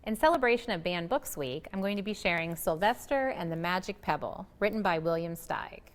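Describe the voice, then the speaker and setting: Expressive woman reading a storybook, echoey room. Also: fairly low pitch, fairly quick. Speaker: librarian reading aloud. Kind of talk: expressive picture-book read-aloud. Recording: echoey, long room reverb.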